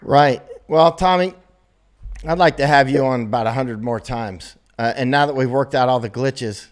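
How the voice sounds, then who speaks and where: A man's voice: medium pitch, moderate pace. Chatty American man hosting an interview. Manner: loose, chatty and laughing easily. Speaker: host. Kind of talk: casual remote interview.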